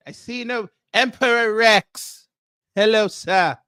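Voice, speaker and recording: A pompous, jet-setting self-styled prince with a put-on Nigerian accent, as a man acts him out for a livestream. He talks in a high, slow, deliberate way, formal and grandiose. The recording is close and dry. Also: pushed and bright, clear, resonant tone, dry recording, heavily acted. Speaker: livestream host. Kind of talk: comedic character livestream.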